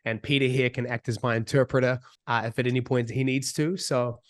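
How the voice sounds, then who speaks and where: Husky New Zealand man in his thirties. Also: mid-range voice, flat delivery. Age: 30s. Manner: speaks slowly and deliberately. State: slightly sick, husky. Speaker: business coach. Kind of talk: casual talk as a guest.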